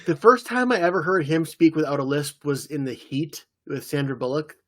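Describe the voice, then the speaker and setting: A man co-hosts a casual podcast and recaps a TV episode at an easy pace. His voice sits a little high, and he drops in loose, playful impressions. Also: normal speed, fairly bright tone. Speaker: podcast co-host. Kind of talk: casual chat about a TV episode.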